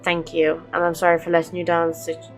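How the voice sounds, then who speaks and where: A woman's voice: lowish voice, completely flat delivery, fairly quick, rough recording. A woman hosting a game playthrough, informal, reading on-screen dialogue aloud with some feeling. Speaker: gaming channel host. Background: game music.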